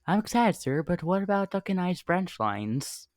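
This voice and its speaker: A performer voicing an earnest talking steam engine in an amateur dramatic reading, with expressive delivery. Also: medium pitch, normal speed, lightly acted. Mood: eager.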